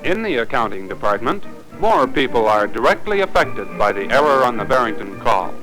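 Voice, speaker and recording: A man with an American accent narrates a 1950s training film in a formal, instructive way. His mid-range voice moves with lively inflection. Light music sits behind it on the old soundtrack. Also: medium pitch, moderate pace, poor audio.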